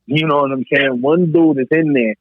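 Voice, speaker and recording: Husky man on a thin phone line. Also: on the high side, moderate pace, dry recording. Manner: emphatic, frequent swearing. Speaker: podcast panelist. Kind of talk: casual hip-hop debate.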